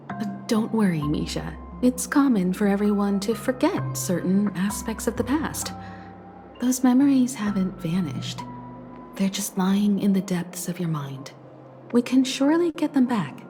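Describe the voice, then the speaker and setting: An actress playing a composed, reassuring young woman investigator in a fantasy video game, speaking in a measured way. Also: mid-range voice, dark tone, close to an everyday voice. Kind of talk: video game dialogue acting.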